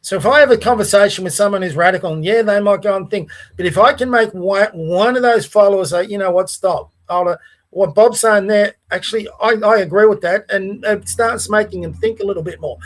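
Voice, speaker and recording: A man's voice: high voice, a little fast. A man with an Australian accent hosting a casual political podcast, talking earnestly with frequent restarts and asides. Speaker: podcast host.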